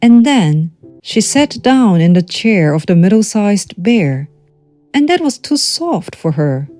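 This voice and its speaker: A woman reads a children's tale as a storyteller. Her voice is mellow and her delivery is slow and measured, with animated pitch movement. Music plays behind the narration.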